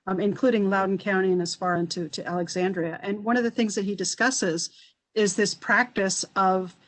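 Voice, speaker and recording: A woman historian speaking on an online panel, thoughtful and halting with many restarts. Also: mid-range voice, steady tone, poor audio. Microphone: video call.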